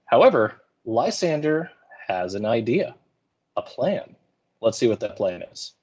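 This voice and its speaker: A man's voice: mid-range voice, very animated, measured pace, a little mellow, breathy edge. A man teaching English, explaining and paraphrasing in a colloquial, informal lecture style.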